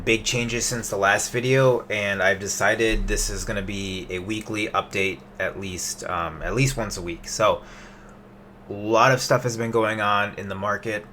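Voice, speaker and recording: Chatty young man, bright forceful tone. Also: fairly low pitch, a little hiss or hum. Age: 20s. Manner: loose and chatty, many fillers and restarts. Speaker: investing vlogger. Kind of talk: casual rambling webcam update. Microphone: webcam.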